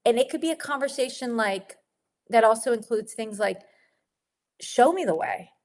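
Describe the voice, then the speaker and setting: Sniffly woman coaching gently. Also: a little high, animated, moderate pace. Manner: warm, encouraging, laughs often. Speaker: spiritual money coach. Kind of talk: guided teaching talk to a women's group.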